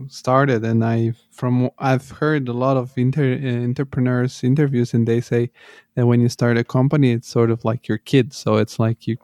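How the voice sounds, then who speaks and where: Brief: male podcast host, hesitant, dark and dry-sounding. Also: medium pitch, flat delivery, moderate pace, dry recording. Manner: hesitant, many fillers and restarts. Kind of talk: interview questions.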